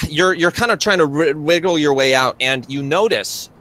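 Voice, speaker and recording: A man with a bright, forward voice narrates a tabletop horror game as its game master. His pitch sits in the middle range, and he feels his way through descriptions, repeating phrases as he builds the scene. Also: measured pace, poor audio.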